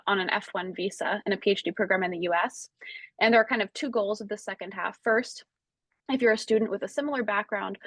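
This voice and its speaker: Woman moderator, mid-pitched voice, dry sound, smooth introduction over a video call. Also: fairly bright tone, no echo. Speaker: seminar moderator. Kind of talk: introducing a speaker.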